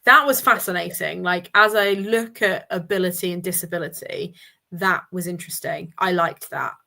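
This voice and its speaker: A woman with a slightly lower voice speaks as a panellist in an informal book chat. She offers thoughtful opinions, feeling out her wording as she goes. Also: moderate pace, vocal fry, pushed and bright. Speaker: book discussion livestream panellist. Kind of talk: casual book discussion chat.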